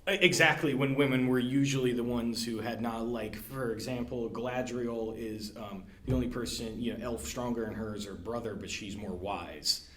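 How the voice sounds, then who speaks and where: A man's voice: mid-range voice, steady tone, moderate pace, fairly bright tone. A man who is a podcast guest, hesitant and searching for answers, laughing at himself. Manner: hesitant, searching for words, self-deprecating laughs. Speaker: podcast guest, library staff. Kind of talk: casual quiz chat. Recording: roomy echo with faint background hiss.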